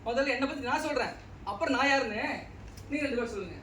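A man's voice: very high-pitched, very fast, sharp tone. A man acting in a comic stage play as a pompous, nitpicking householder, scolding and exasperated. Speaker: stage actor. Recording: distant mics, echoey hall, noisy.

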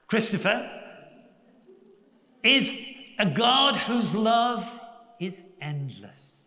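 An older man, a priest giving a Sunday homily in a large church, preaching slowly and reflectively with emphatic pauses. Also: sits high, bright, forceful tone. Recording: echoey church with long reverb.

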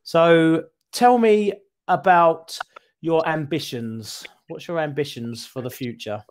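A man's voice: fairly high pitch, takes it slowly, a little hiss or hum. Chatty British man on a headset. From United Kingdom. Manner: chatty, repeats words when starting a thought. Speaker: online English tutor. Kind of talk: casual conversation lesson. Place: small room at home. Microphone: headset, video call.

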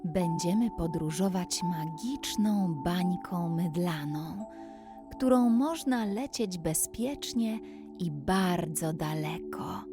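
A woman narrating a scripted bedtime story in a gentle, soothing manner, as if guiding a child toward sleep. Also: lowish voice, takes it slowly. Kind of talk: slow scripted bedtime story with guided relaxation. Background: background music.

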